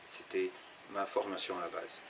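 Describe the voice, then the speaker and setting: A deep-voiced man from Algeria, a teacher who explains things step by step, pausing and restarting as he searches for words. His low voice comes through over steady noise in the background. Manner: thoughtful, explanatory, with fillers and restarts. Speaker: Arabic teacher interviewed. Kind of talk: interview answers.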